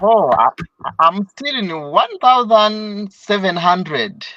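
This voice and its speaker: A man's voice: high-pitched, big pitch swings, takes it slowly, pushed and bright, slight background noise. A young man from Uganda with a Ugandan accent, a student calling in to a live stream, speaking hesitantly with fillers and laughs. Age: young adult. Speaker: caller, student and travel vlogger. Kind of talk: live call-in chat. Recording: dull, dry call audio.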